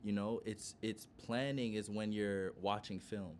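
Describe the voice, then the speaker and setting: Male podcast co-host, lowish pitch, slight ambient noise, pushing his argument insistently with halting, reworked phrasing. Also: steady tone. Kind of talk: casual debate.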